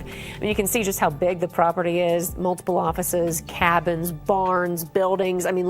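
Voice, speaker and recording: A woman delivering a broadcast news report as a TV reporter. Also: lowish voice.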